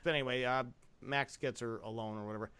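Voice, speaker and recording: A man's voice: medium pitch, steady tone, fast talker, a bit bright, clear, resonant tone, no echo, studio quality. A man hosting a podcast, reviewing a film in a casual, comedic, crude and opinionated way. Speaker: podcast host.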